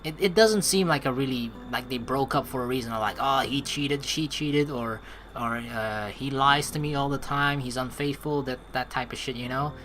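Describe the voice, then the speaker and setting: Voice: medium pitch, moderate pace, a bit bright, a little hiss or hum. A let's play streamer giving casual commentary, talking in a rambling, conversational way as if thinking aloud. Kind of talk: casual gameplay commentary mixed with reading game text aloud.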